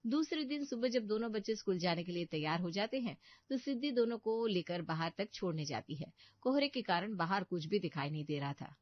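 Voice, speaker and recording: A woman's voice: mid-range voice, lively intonation, fairly quick, no echo. Woman narrating a cartoon story, dry sound. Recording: dull, muffled studio voice track.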